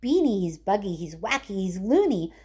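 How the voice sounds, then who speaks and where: A woman's voice: mid-range voice, very animated, normal speed, mellow, slightly rough. A woman voicing aghast, scornful parents in a storybook, calling out insults in a chant. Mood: aghast, indignant. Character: indignant crowd parents, aghast, scornful adults.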